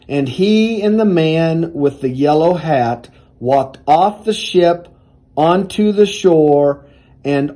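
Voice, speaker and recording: A man reading a children's storybook aloud in a deliberate, expressive storytelling manner. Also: fairly high pitch. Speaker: university athletics director reading aloud.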